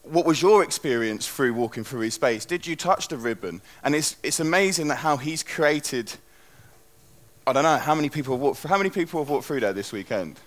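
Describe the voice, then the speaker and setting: A man's voice: mid-range voice, animated, fairly quick. A young man gives a loose, chatty talk on a conference stage through a headset mic, full of restarts. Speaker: conference speaker.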